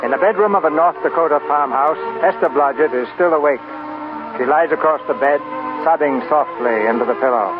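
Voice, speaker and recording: A man hosting and narrating a 1940s radio theater show in a measured, formal, scripted way. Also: on the high side, music in the background. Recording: tinny, noisy 1940s radio recording.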